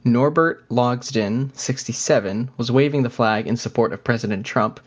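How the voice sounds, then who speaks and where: A man's voice: medium pitch, moderate pace. A man co-hosting a podcast in casual conversation, talking in a hesitant, conversational way. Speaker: podcast co-host.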